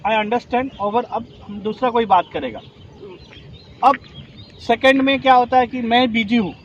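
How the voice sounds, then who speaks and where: A man's voice: very high-pitched, fairly bright tone, lo-fi sound, a little hiss or hum. A man, a rescue trainer, explaining a device step by step and asking his class to confirm. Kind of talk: instructional demonstration.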